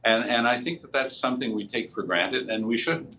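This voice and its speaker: A man's voice: medium pitch, brisk, pushed and bright. A man with a Canadian accent giving emphatic political commentary on live TV, with some background noise.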